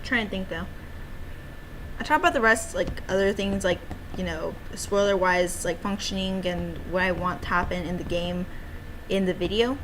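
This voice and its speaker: A woman's voice: fairly low pitch, moderate pace, a bit bright, rough recording. A woman streamer talking in a casual, rambling livestream style, playful and given to cutesy little exclamations. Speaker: streamer and amateur game developer. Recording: clear background noise.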